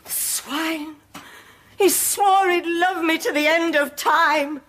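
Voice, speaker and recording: An actress playing a man-mad, melodramatic woman who has just been jilted, speaking with breathy, theatrical self-pity. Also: very high-pitched, steady tone, slow, fairly bright tone, heavily acted. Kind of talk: 1990s British TV sitcom acting. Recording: noisy TV sitcom track with audience laughter.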